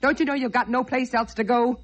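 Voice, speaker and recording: A woman's voice: fairly high pitch, fairly even intonation, brisk, natural voice. An actress playing a hard-boiled, scornful woman, taunting and sarcastic, in an old radio crime drama. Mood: cruel, taunting. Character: bitter, scornful, hard-boiled woman fed up with her man. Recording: dull vintage radio recording.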